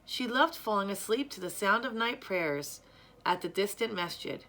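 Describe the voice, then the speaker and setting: A woman reading a children's story aloud in a measured, careful, expressive way. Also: mid-range voice, low-quality recording. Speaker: storyteller reading a picture book.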